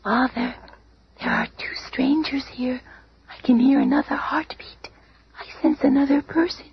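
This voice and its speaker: An actress playing a gentle, trusting blind young stepdaughter in a radio drama, soft and full of innocent wonder. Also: female voice, sits high, steady tone, unhurried, husky, whispered, full character voice. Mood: puzzled. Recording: noisy, muffled old radio broadcast.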